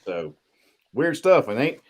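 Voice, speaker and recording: A man's voice: medium pitch, big pitch swings, slow, a little mellow, breathy edge. Folksy man telling spooky stories casually. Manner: folksy, slangy, says "dude" and "man". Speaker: podcast guest telling spooky personal stories. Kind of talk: casual storytelling chat.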